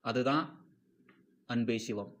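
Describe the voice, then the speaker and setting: A man's voice: medium pitch, slow pace, fairly bright tone. A man with a Tamil Nadu accent giving informal, opinionated video commentary, emphatic and repetitive, asking rhetorical questions and mixing in English phrases. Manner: mixes English phrases into talk. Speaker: host of a film commentary series.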